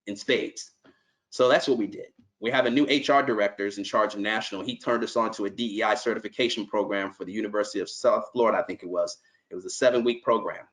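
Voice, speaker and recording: A man's voice: mid-range voice, fairly bright tone. A middle-aged man from New York, a nonprofit executive, gives earnest, conversational remarks to a conference call and often restarts his sentences.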